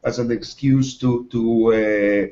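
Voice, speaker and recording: A man's voice: mid-range voice, fairly even intonation, takes it slowly, dark tone. Hesitant middle-aged man, dull lo-fi sound. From Mexico. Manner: hesitant, many fillers and restarts. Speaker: ad agency president, guest. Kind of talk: interview answers. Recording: dull lo-fi recording.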